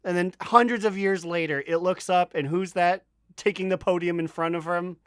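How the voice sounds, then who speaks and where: A man's voice: sits high, fairly even intonation, normal speed, a bit bright, smooth, no echo, clean studio sound. A man co-hosting a podcast, bantering casually with a bawdy, joking manner. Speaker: podcast co-host.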